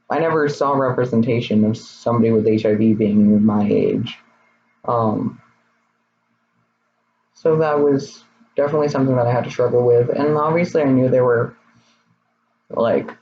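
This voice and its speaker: Slightly raspy young man, candid vlog. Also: mid-range voice. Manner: candid, rambling, hesitant with many fillers. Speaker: vlogger. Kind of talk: casual personal vlog. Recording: slightly dull, faint noise.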